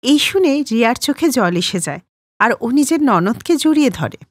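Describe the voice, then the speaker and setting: High-pitched, animated woman storyteller. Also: normal speed, breathy edge, no echo, studio quality. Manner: expressive. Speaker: narrator. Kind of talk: scripted children's story narration.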